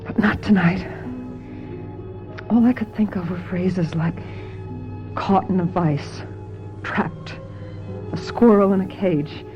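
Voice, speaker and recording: Wistful young actress in muffled radio drama. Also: female voice, on the low side, slow, pauses often. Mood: wistful, reflective. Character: weary, naive young actress narrating her troubled life. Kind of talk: dramatic radio mystery acting with first-person narration. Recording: dull lo-fi radio drama, music behind.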